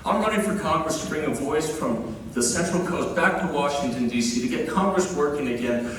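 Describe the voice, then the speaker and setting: A man's voice: on the high side, expressive, brisk, a bit bright, a little hiss or hum. Earnest young man heard far off in a hall. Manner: earnest, with frequent "uh" fillers. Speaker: candidate. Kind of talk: debate opening statement. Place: debate hall.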